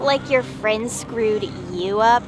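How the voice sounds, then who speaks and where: A woman plays a domineering goddess with a fairly high voice and a short temper. She is curt, cold and mocking, in an audio drama with music clearly behind her. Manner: curt, scornful, commanding.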